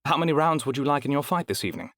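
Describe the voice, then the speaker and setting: A man voicing a polished female fight registrar with a smooth accent, asking brisk formal questions. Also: mid-range voice, expressive, fairly bright tone, voice pushed up, close to an everyday voice.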